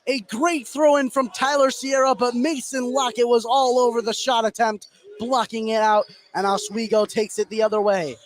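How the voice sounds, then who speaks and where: A man's voice: extremely high pitch, steady tone, moderate pace. An American man giving a running, descriptive live radio play-by-play of a basketball game. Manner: running, descriptive call of the action. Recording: loud gym noise behind live broadcast. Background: noisy indoor basketball gym.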